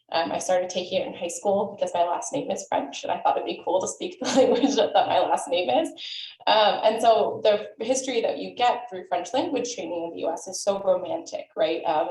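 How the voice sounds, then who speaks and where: A woman in her thirties, a historian telling reflective, animated panel remarks over a video call. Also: on the low side. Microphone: video call mic. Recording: echoey room.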